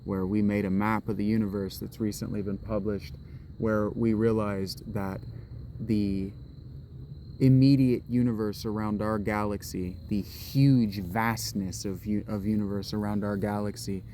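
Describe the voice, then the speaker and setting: A man with a low, mellow voice rambles to his camera while walking outside. He often restarts his sentences and drifts from one thought to the next. A faint hum of outdoor ambience sits behind him. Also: flat delivery, a little slow. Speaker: vlogger. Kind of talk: rambling spoken vlog monologue. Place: outdoors on a walk in public. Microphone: camera held while walking.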